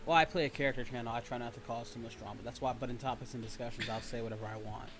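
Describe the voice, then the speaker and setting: A man's voice: mid-range voice, fairly even intonation, racing, fairly bright tone. A man hosting a livestream in casual banter, talking in a blunt, teasing, cocky manner. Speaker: livestream host and voice actor.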